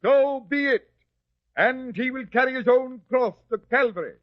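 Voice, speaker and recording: An actor playing a commanding middle-aged Roman governor in a radio drama, giving formal orders. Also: male voice, very high voice, normal speed, over a noisy crowd, very noisy. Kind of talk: vintage radio drama acting. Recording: dull lo-fi radio drama recording.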